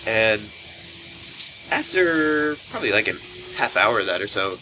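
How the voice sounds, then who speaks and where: A young man telling a casual anecdote to camera in an animated way, acting out what people said, recorded on a poor camera microphone. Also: measured pace. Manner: animated retelling, acts out quoted speech. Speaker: storytelling vlogger. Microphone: built-in camera microphone. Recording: noisy low-quality camera microphone.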